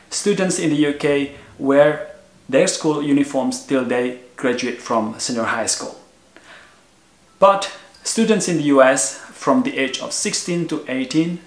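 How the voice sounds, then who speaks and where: A man's voice: medium pitch, echoey room. A man in his thirties from Indonesia, explaining to camera on a sofa at home in an earnest manner, with frequent "uh" fillers. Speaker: vlogger. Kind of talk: explanatory video talk to camera. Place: living room with a sofa, indoors.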